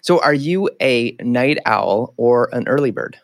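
A man's voice: mid-range voice, animated, unhurried, no room sound. Upbeat male podcast host, dry sound. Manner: enthusiastic, repeats "that's amazing". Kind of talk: casual interview chat.

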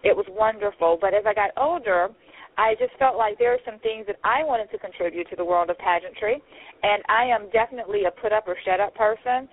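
A woman's voice: medium pitch, brisk. Chatty woman in her forties on a phone line. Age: 40s. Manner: upbeat, chatty, many fillers. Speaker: pageant system founder, guest. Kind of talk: casual interview over the phone.

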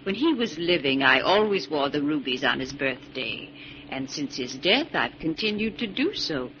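An actress playing a rich, gracious older widowed aunt in a 1940s radio drama, genteel and concerned. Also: female voice, low-pitched, big pitch swings, normal speed, a bit bright. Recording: muffled hissy old radio recording with hum.

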